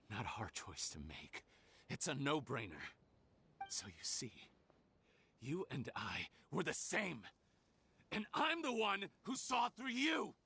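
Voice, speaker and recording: A man's voice: a little high, pushed and bright, husky, heavily acted. A man voicing a bitter, scheming fugitive villain in a video game, sneering and theatrical.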